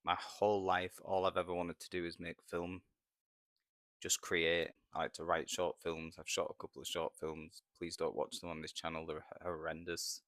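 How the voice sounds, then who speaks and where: Low, flat-voiced Yorkshire man. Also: normal speed, dry recording, studio quality. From England. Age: 30s. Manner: hesitant, self-conscious, quiet and flat. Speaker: vlogger. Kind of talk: nervous first vlog to camera. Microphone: small microphone.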